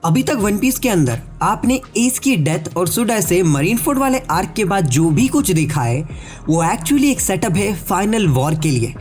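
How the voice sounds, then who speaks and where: A man's voice: high-pitched, big pitch swings, normal speed, darkish tone, faint music behind. Chatty man with a stuffy nose. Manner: chatty, addresses viewers directly, mixes in English words. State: sore throat and blocked nose. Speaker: anime theory YouTuber. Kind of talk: casual explainer voice-over. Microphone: voice-over recorded separately.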